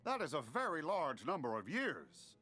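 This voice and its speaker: An actor voicing a naive, philosophical newly-sentient video game being with quaint, formal, wondering phrasing. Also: male voice, fairly high pitch, wildly swooping pitch, normal speed, sharp tone, slight background noise, full character voice. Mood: delighted wonder. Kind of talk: video game character acting.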